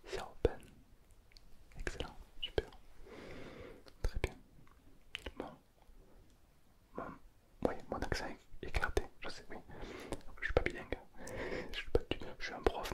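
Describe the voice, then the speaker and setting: Slow whispering man, ASMR teacher. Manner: soft whisper throughout, playful and self-mocking. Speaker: pretend Mandarin teacher. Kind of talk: whispered ASMR roleplay lesson.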